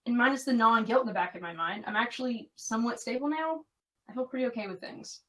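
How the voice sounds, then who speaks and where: Woman acting rapid-talking, earnest young American woman. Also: on the high side, a bit bright, recorded from a distance, lightly acted. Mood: earnest, conflicted. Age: young adult. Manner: earnest, confiding. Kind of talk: audio drama dialogue.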